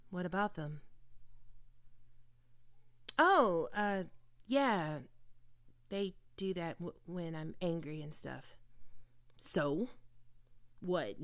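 A woman's voice: on the low side, clear, resonant tone, no room sound, clean studio sound. A woman playing a prickly, flustered young vampire cat-girl in an audio roleplay, sarcastic and defensive. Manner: sarcastic, defensive, sighing asides. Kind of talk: ASMR roleplay monologue.

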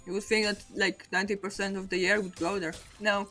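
Polish accented young woman streaming a game, rambly, pokes fun at herself, soft music underneath. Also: medium pitch, flat delivery, fairly quick. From Poland. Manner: rambling, self-mocking, laughs often between thoughts. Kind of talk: casual chat over gameplay.

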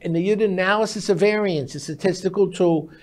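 A man's voice: high voice, a little fast. A man in his sixties explaining things in an emphatic, instructive way, in short declarative sentences, as a guest expert in a studio interview. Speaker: guest expert, speed reading teacher. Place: TV-style studio at a glass desk. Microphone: lapel mic.

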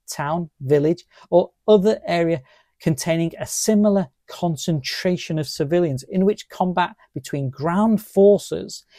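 Middle aged guy reading out a formal legal explainer, slightly high voice, very dry close sound. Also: mellow, no echo. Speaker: presenter of legal education video.

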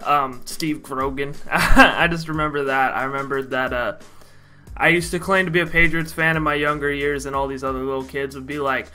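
A man's voice: mid-range voice, steady tone, moderate pace, pushed and bright, faint music behind. A young man from Idaho with an American accent, casually telling personal stories to camera in a chatty, showman-like way. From Idaho, United States. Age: young adult. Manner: chatty, addresses viewers like a showman. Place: small room at home.

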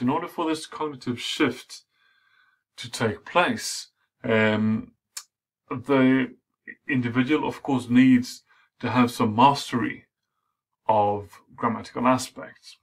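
A middle-aged man, a native Swedish speaker, giving an academic lecture in a measured way with frequent fillers. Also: medium pitch, a bit bright.

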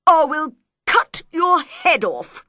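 A woman's voice: medium pitch, big pitch swings, slow pace, sharp tone, no room sound, studio quality, exaggerated voice. A woman playing a bossy, blustering male king in a children's storybook, with a commanding, emphatic delivery. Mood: stern.

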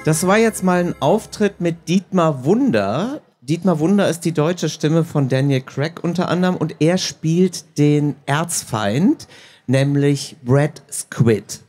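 A man interviewing guests live on stage. His voice is fairly high for a man and noticeably husky. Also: animated, takes it slowly, darkish tone, soft background music. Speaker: interviewer, audiobook producer. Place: stage at a book fair.

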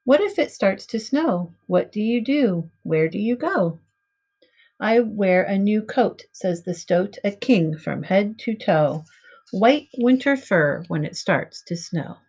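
A middle-aged woman reading a picture book aloud to young children in simple, measured storybook phrasing. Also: medium pitch, expressive, a little mellow, husky. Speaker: preschool teacher reading aloud. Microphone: webcam at arm's length.

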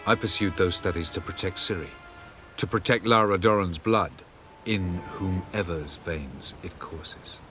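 An ancient elf sage. He is haughty, guarded and formal, measuring out condescending game dialogue over clear music. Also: sits low, unhurried. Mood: cool, guarded.

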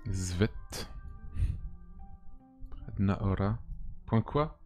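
Low-voiced man streaming casually. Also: animated, drawn-out words, darkish tone. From France. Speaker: live streamer. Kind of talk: casual livestream chat and game commentary. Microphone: close boom mic with headset. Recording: music playing under the voice.

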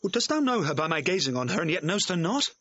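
An actor playing a lovesick young gentleman and master in a classical audio drama, lofty and earnest. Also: fairly high pitch, sing-song, fast. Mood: earnest, bantering. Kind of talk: classical stage-style audio drama acting. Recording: dull, band-limited vintage audio recording.

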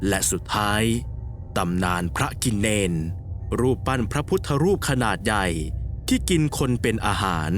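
A man narrating a scripted ghost story in a dramatic storytelling manner. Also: mid-range voice. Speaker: narrator of a horror cartoon series. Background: background music.

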